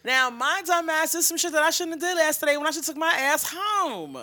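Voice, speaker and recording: A woman with a bright, forceful voice talks off the cuff in a casual show chat. Her pitch swings widely, and her talk is slangy and animated, peppered with swearing. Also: a little fast, no room sound.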